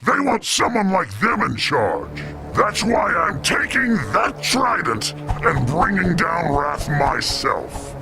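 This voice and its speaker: Rough humanoid shark, blunt would-be king in a game, gravelly, loud score behind. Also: sits high, big pitch swings, slow pace, full character voice. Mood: defiant. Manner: blunt, rough, street-tough. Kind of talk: video game character acting. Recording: under loud game music.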